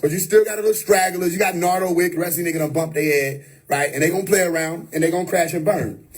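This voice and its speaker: A man's voice: a little high, fast talker, husky. A man giving an unscripted, preachy livestream monologue, emphatic and repetitive. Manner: preachy, emphatic, repeats "you know what I mean". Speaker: livestream host. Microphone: phone or webcam on a live stream.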